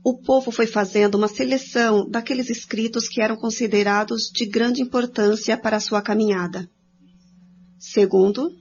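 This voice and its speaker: A woman co-presenting a religious study programme, reading scripted explanatory commentary. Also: medium pitch. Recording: dull lo-fi sound with clear noise.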